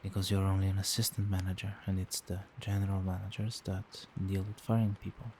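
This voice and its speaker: A man playing a doting, protective vampire boyfriend in a soft-spoken, soothing and attentive ASMR roleplay. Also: sits low, monotone, a little fast, noisy background. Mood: tender, concerned. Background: rain.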